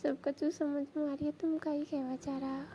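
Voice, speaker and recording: A woman's voice: high voice, little pitch movement, normal speed, dark tone, slight background noise, close to an everyday voice. A woman playing a coy village sister-in-law on a phone call, with a Rajasthani accent and a languid, teasing manner. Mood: mock-annoyed. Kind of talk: staged phone call, casual chat.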